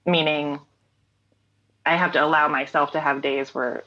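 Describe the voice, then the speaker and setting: A woman's voice: low voice, steady tone, moderate pace, bright, forceful tone, highs cut off. A woman in her late thirties, a singer-songwriter guest in an interview, talking thoughtfully with frequent "um" and "like" and easy laughter. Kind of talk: reflective conversational interview.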